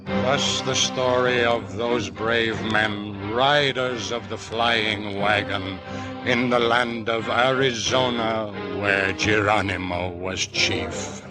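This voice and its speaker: A storyteller of Old West legend on vintage radio. His voice is fairly low and moves slowly. He declaims solemnly and poetically over prominent music. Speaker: narrator. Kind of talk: old-time radio drama narration, poetic.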